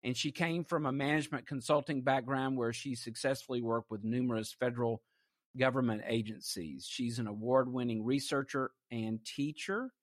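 A man's voice: medium pitch, fairly even intonation, moderate pace, dry recording, clean studio sound. A man hosting an interview program, reading scripted introductions like an announcer and asking his guest questions. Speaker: talk show host.

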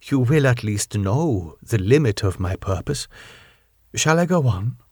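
A man voicing a stern, solemn elderly foreign doctor who pleads gravely in an audiobook story. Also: mid-range voice, expressive, measured pace, dry recording, studio quality, pitched below the performer's own voice. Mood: measured, insistent.

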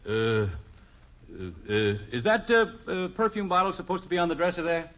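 Muffled, flustered American radio dad. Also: male voice, high voice, sing-song, moderate pace. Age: middle-aged. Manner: hesitant, wordy, mock-formal. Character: flustered, wordy, well-meaning suburban father. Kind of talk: 1950s radio sitcom acting. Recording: muffled, noisy old radio recording.

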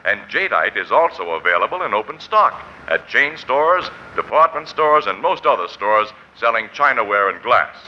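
A man's voice: on the low side, sing-song, normal speed, few pauses, slight background noise. An American man announcing on 1940s radio in a formal, emphatic sales style. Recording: muffled old 1940s radio, music behind.

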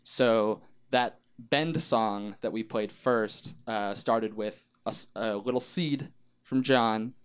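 Smooth-voiced man in casual chat. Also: fairly low pitch, slow. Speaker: band member being interviewed.

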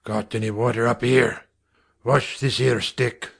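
A man voicing a gruff London father, talking in a hoarse grunt just above a whisper. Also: mid-range voice, little pitch movement, measured pace, fairly bright tone, full character voice. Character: gruff thieving London father, curt and coarse.

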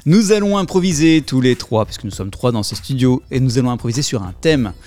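A man hosting a radio show from a studio, presenting in a lively style. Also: medium pitch, a little fast, no echo.

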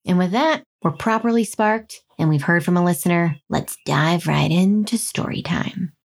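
A woman with a slightly low voice, speaking as a podcast co-host. Her delivery is casual and conversational, as if talking to fellow hosts and listeners. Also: animated, normal speed, creaky. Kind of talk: casual chat and reading listener mail.

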